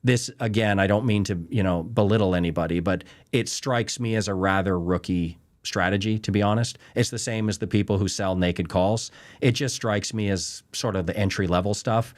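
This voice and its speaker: A man with a fairly low voice talks through trading ideas in a loose, unscripted way. He stresses his points hard and keeps checking in with his listeners. He grew up in Canada. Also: normal speed. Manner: conversational, emphatic, lots of "right?" and "you know". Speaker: trading show host answering viewer questions. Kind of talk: casual unscripted explainer.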